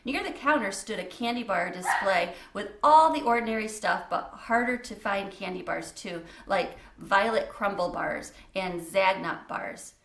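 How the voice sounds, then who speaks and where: A woman's voice: medium pitch, unhurried, few pauses, fairly bright tone, reverberant, slight background noise. A woman reading a children's book aloud as a storyteller, in a scripted narration style.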